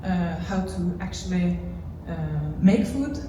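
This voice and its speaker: A woman's voice: fairly low pitch, expressive, slow. A woman with a Norwegian accent asking an unscripted question from the audience, hesitantly searching for words. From Norway. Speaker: audience questioner, teacher trainer. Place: large echoey room at a live panel event. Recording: echoey hall microphone, distant, faint noise.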